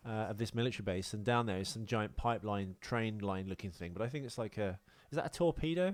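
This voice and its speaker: A man's voice: on the low side, fast talker. A man co-hosting a film podcast with casual, jokey banter. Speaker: podcast co-host.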